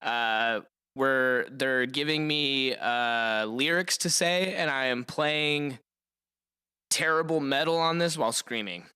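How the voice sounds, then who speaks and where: A man's voice: mid-range voice, takes it slowly, pushed and bright, clear, resonant tone. A man streaming live and chatting casually, in a laid-back, slangy way, while he plays guitar. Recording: guitar playing behind the voice. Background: acoustic guitar.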